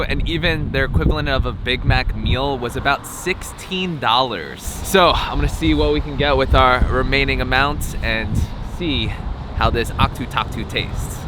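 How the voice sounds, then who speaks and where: A man's voice: medium pitch, fairly bright tone. A young man narrating a casual travel vlog in an upbeat, chatty way, with light jokes. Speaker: travel vlogger and interviewer. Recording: background music under voice.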